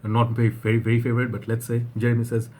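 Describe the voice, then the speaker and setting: A man with a flu-husky voice, talking casually to his viewers and often rambling and restarting. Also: medium pitch, monotone, racing, darkish tone, a little hiss or hum. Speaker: fragrance reviewer. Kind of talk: casual vlog talk to camera.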